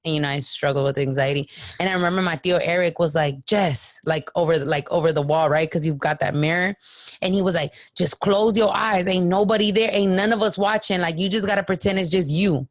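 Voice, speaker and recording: A raspy-voiced woman in her late twenties, a podcast guest, telling a story casually, acting out quotes and swearing freely. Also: on the low side, fairly even intonation, brisk, no echo.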